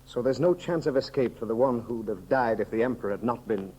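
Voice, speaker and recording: An actor playing a grave, loyal counselor with solemn, formal, declamatory delivery in a 1930s radio serial. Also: male voice, mid-range voice, fairly quick, warm tone, breathy edge, lightly acted. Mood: grave, insistent. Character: grave loyal counselor, stern yet devoted male friend. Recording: muffled old 1930s radio recording.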